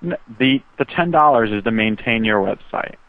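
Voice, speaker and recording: A man's voice: medium pitch, lively intonation, moderate pace. A man working as a customer support agent, patiently and hesitantly explaining over the phone. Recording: narrow, tinny phone line.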